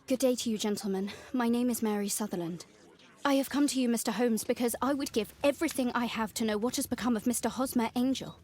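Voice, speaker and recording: A woman's voice: fairly high pitch, fast talker, darkish tone, close to an everyday voice. An actress playing an earnest young Victorian lady in a video game, with a British accent and polite, formal speech. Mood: pleading. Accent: British English. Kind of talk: video game dialogue acting.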